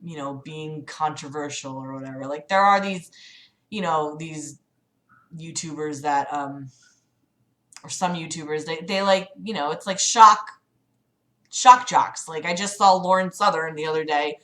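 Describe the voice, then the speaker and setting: A woman's voice: low-pitched, measured pace, fairly bright tone. A woman chatting casually as a livestream host, rambling hesitantly in a self-deprecating way. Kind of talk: casual chat with livestream audience.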